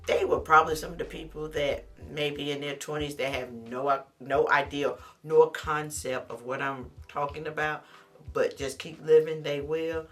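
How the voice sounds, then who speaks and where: A middle-aged woman with a deep voice, vlogging to camera in a chatty, animated way and calling the viewer honey. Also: moderate pace. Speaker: lifestyle vlogger. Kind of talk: casual talk to camera.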